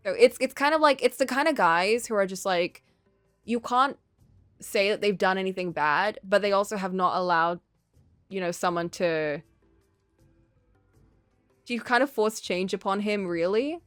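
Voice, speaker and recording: A woman's voice: mid-range voice, moderate pace, long pauses, a bit bright, clear, resonant tone, no room sound, soft background music. A woman streaming casual, opinionated commentary on a story game, thinking aloud in a conversational way.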